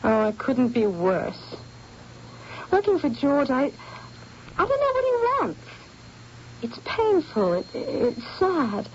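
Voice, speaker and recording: An actress playing a haunted young artist's model, speaking softly and earnestly in an old radio drama. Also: female voice, high voice, very animated, slow pace, pauses often, slight background noise, exaggerated voice. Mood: sad. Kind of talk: 1970s radio drama acting. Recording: dull lo-fi old radio recording.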